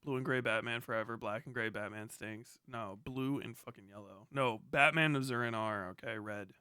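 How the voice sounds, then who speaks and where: A man in his thirties chatting casually as a livestream host, swearing freely and riffing in a sarcastic, animated way. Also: mid-range voice, normal speed, clear, resonant tone, dry recording, studio quality. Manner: profane, animated, sarcastic ranting.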